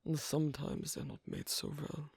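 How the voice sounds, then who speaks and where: A performer voicing an asocial, reticent werewolf in an ASMR roleplay, speaking haltingly in a breathy, husky half-whisper. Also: wildly swooping pitch, darkish tone, no echo, full character voice. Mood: quietly explaining. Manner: halting, hesitant, low-key. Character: asocial, reticent young werewolf on a sewing date.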